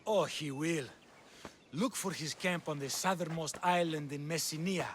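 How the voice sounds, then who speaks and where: An actor playing a wry, proud trainer of champion fighters in a game, with a dry, storytelling manner. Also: male voice, a little high, moderate pace, natural voice. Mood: wry, informative. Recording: clearly audible ambient background noise.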